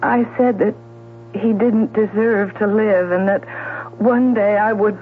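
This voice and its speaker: Actress as distraught, guilt-haunted woman, halting speech, mid-range pitch, vintage radio drama delivery through a narrow broadcast band. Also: fairly even intonation, a little hiss or hum, close to an everyday voice. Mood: confessing, anguished. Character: fragile, distraught jilted woman, guilt-ridden and pleading. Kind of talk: 1970s radio drama acting. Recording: tinny, humming old radio broadcast recording.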